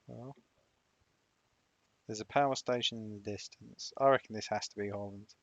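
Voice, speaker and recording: A man's voice: on the low side, steady tone, moderate pace, a bit bright, no room sound, lo-fi sound. A man with a Sunderland accent hosting a geography guessing game stream, bantering bluntly and repeating his jokes. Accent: Sunderland English. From Sunderland, England. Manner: blunt, joking, repeats himself. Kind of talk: casual banter while playing.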